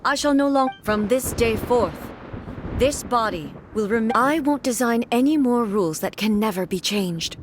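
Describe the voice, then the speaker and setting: A woman voicing a solemn, tender immortal ruler in a fantasy game, speaking in formal, measured lines. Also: fairly high pitch, lively intonation, noisy background. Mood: resolute. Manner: formal, measured, stately delivery. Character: solemn immortal warrior goddess ruler, resolute yet tender. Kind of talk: scripted fantasy game voice acting. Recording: prominent game music underneath.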